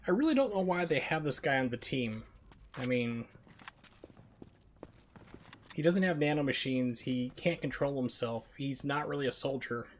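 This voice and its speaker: A man's voice: medium pitch, animated, moderate pace, pauses often. A man giving casual, rambling, opinionated live commentary as he streams a video game and chats with viewers. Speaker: game livestreamer.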